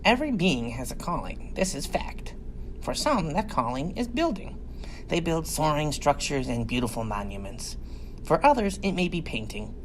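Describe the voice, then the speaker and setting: A man's voice: a little high, sing-song, normal speed, slight background noise, low-quality recording. A man reading a science fiction adventure story as dramatic scripted narration. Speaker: audiobook reader.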